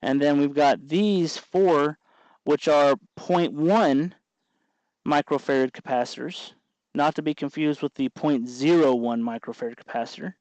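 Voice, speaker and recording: A man hosting a casual hands-on tutorial, explaining in a halting way as he works. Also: fairly high pitch, a little mellow, no room sound, highs cut off. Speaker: host of a DIY electronics tutorial.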